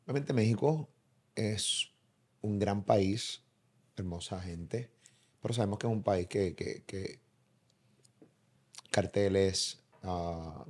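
A man, hosting a casual podcast interview with halting, careful phrasing and blunt slang. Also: fairly low pitch, a little mellow, husky. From Puerto Rico. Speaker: interview host. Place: small indoor room by a kitchen. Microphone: podcast microphone on boom arm.

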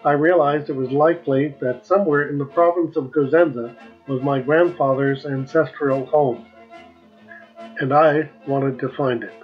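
A man's voice: on the high side, fairly even intonation, moderate pace, dull audio, soft background music. A man in his seventies with an American accent, narrating his own personal travel video. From United States. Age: 70s.

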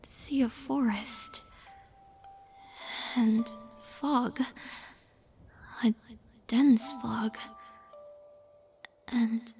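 A woman playing a sweet, shy young witch healer in an intimate audio roleplay, speaking gently and hesitantly, often near a whisper. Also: a little high, steady tone, very slow, pauses often, faint music behind. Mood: tender, concerned.

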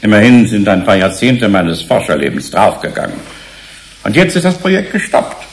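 An actor playing an older, defensive and irritable research professor in a German radio play, halting, insistent and dismissive. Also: male voice, on the low side, sing-song. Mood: wounded, defensive. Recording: 1980s radio play with slight noise.

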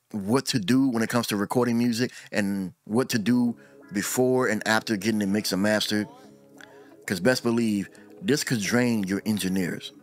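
A middle-aged man with a fairly low voice, explaining recording tips in a relaxed, chatty way. He talks close into a desk mic on a boom arm in a small room at home, with soft music playing faintly under him. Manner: relaxed, talks with his hands, repeats words as he goes. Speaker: audio engineer and channel host. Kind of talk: casual tutorial to camera.

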